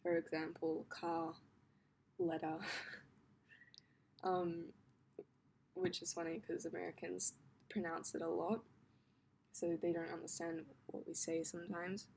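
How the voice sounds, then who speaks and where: Young aussie woman rambling about stuff, kinda low voice, lots of um and like, faint hum behind. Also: flat delivery, a little slow, vocal fry, pauses often, darkish tone. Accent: Australian. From Australia. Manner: chatty, rambling with many ums and likes, laughs often. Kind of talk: casual talk to camera about her accent.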